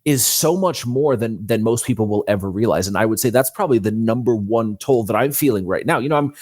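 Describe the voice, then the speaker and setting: A man's voice: fairly low pitch, fairly quick, a little mellow, no room sound, clean studio sound. A man speaking as a guest author in a conversational interview, explaining things earnestly.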